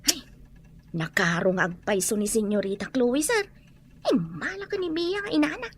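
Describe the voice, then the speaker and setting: A woman's voice: a little high, big pitch swings, moderate pace, slightly rough. A woman playing a gossipy, complaining but deferential household helper in an audio drama, with a Filipino accent. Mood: flustered, deferential. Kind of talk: Ilocano audio drama acting.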